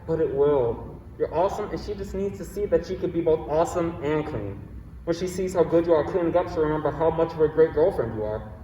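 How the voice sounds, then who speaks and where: A man's voice: a little high, expressive, a little fast, mellow, slight background noise, close to an everyday voice. A young man acting in a student stage play as a laid-back, supportive friend, casual and reassuring. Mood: encouraging. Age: young adult. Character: laid-back, supportive young male buddy giving advice. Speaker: student actor. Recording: dull echoey hall, distant mic.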